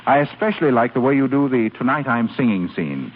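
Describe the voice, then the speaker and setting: An actor playing a suave, teasing secret admirer in a 1930s radio drama, smooth with small hesitations. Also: male voice, medium pitch, very animated, brisk. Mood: ardent, courtly. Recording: tinny, noisy 1930s radio broadcast.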